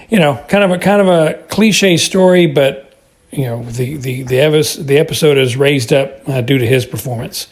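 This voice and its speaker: A middle-aged or older American man giving a casual spoken review, hesitating and repeating words as he rambles. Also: mid-range voice. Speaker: listener calling in with feedback.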